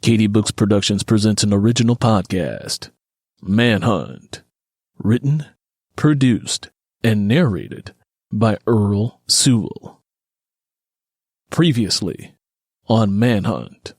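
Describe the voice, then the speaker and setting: A man's voice: lowish voice, expressive, slow, pauses often, a little mellow, husky, no echo. A man reading scripted material as the host and narrator of a story podcast. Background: music.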